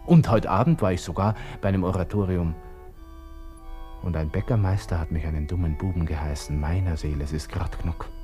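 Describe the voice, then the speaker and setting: A man acting a young Austrian officer brooding over his own end in a radio play. His Viennese voice is low and mellow, muttering intimately in broken, colloquial musing. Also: lightly acted. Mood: resigned, weary. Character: young Austrian army lieutenant, despairing, brooding over suicide. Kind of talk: radio drama interior monologue, close and intimate.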